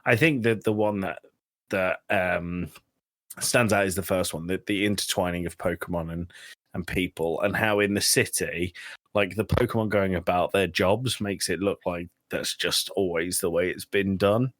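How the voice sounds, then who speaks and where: Man, low pitch, dry recording, podcast host, casual, teasing banter. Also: fairly even intonation, moderate pace, fairly bright tone, dry recording. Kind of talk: casual chat.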